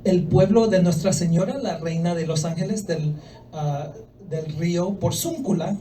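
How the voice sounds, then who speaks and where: A young man from El Salvador doing a stand-up set in a comedy club, with a soft voice and a hesitant, deadpan delivery full of fillers. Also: high-pitched, moderate pace, slight background noise. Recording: voice from far off the mic.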